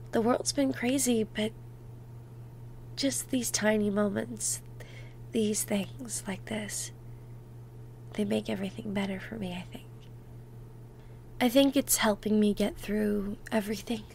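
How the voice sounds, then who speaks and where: A woman playing an affectionate, playful girlfriend in an ASMR roleplay, talking softly and intimately, sometimes close to a whisper. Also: mid-range voice, gravelly, a little hiss or hum. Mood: tender, reflective. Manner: soft, affectionate, unhurried, dropping into near-whispers.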